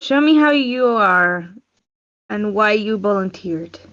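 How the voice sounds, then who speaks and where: A woman's voice: medium pitch, expressive, slow pace, a bit bright. A woman playing a stern, goading female combat trainer with a curt, commanding manner in a screenplay table read. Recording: dull lo-fi online meeting audio.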